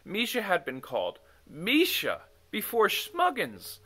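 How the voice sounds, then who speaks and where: A man reading a children's book aloud as an expressive, dramatic storyteller. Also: sits high, takes it slowly. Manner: dramatic, animated. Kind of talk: expressive bedtime story narration.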